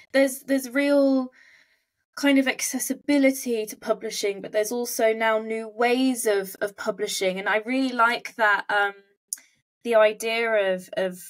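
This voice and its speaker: A woman with a medium-pitched voice hosts a casual interview. She talks reflectively, feeling her way through a point in conversational phrasing. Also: fairly bright tone. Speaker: podcast host, interviewer.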